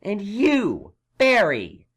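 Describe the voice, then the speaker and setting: A woman playing a brainy, exasperated young male unicorn magician in a fan audio drama, questioning in a measured way. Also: mid-range voice, huge pitch swings, breathy edge, exaggerated voice. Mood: exasperated probing.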